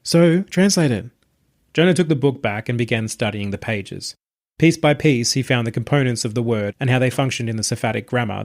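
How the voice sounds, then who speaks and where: Man narrating a short story, medium pitch. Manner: measured, descriptive storytelling. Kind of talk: scripted audiobook narration.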